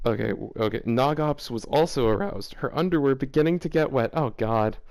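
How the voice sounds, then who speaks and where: A man's voice: mid-range voice, a little fast. A young man reading a fanfiction story aloud, breaking off to laugh and react. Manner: laughs often, animated reactions. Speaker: fanfiction reader. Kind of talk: comedic reading aloud with commentary.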